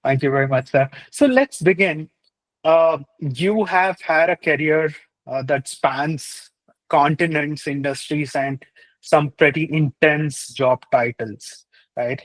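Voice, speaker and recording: Upbeat higher-voiced interview host. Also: male voice, takes it slowly, low-quality recording. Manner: upbeat, uses fillers like "uh" and "right?". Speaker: podcast host. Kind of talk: scripted intro and interview questions.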